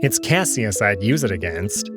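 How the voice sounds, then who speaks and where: A man's voice: on the low side, sing-song, moderate pace, over loud music. A man voicing a sardonic jackal palace noble who speaks in an exasperated, pointed way in a visual novel reading. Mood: dry, irritated.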